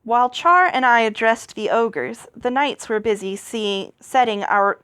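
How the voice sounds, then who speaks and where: A woman's voice: fairly high pitch, a little slow, fairly bright tone, clear, resonant tone, dry recording, clean studio sound. A woman reading a fantasy novel aloud as an amateur narrator, expressively telling the story and its dialogue. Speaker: amateur audiobook reader.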